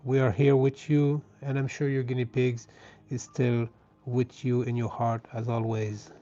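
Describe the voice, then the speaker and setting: A man with a French Canadian accent, a native French speaker, chatting gently and casually as he hosts a live craft stream. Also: mid-range voice, little pitch movement, measured pace. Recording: dull, lo-fi sound.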